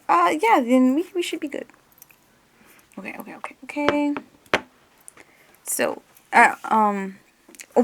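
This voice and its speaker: A woman's voice: on the high side, vocal fry. A woman doing a one-on-one ASMR role-play as an examiner, hesitant and muttering to herself, drifting between normal voice and whispery murmur.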